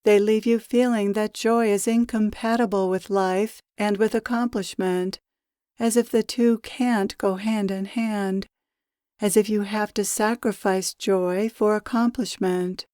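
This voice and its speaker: A woman leading a guided meditation as a spiritual teacher, with measured, deliberate phrasing. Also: mid-range voice, fairly even intonation, mellow, no echo, studio quality. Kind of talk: guided meditation, spoken as a channeled message.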